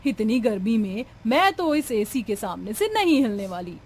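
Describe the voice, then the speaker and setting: A woman playing a young, indignant, sharp-tongued daughter-in-law in a cartoon family drama, with a scolding, melodramatic delivery. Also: on the high side, sing-song, normal speed, breathy edge, exaggerated voice. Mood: scornful.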